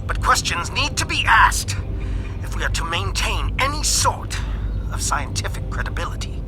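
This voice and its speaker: High-voiced, formal alien scientist, music behind. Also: male voice, poor audio. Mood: guarded, earnest. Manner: formal, measured, contemplative. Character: reptilian alien scientist secretly sharing questioning doubts. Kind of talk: scripted game cutscene monologue.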